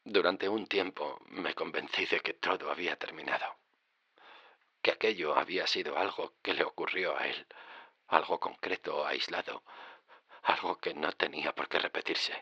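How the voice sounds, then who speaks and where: An actor playing a haunted driver recounting his dread in a horror audio drama, with suspenseful pauses. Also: bright, forceful tone, dry recording, muffled recording, full character voice. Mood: subdued, uneasy. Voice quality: low, gravelly. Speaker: narrator and lead character. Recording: dramatic music under the voice. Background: music.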